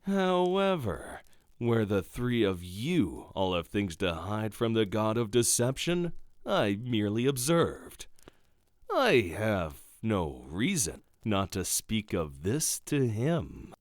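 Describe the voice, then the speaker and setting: Sly urbane male god, acted out, slow, expressive swings, formal, close dry sound, fantasy audio drama. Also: fairly low pitch, dry recording. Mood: slyly amused. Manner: measured, formal, theatrical.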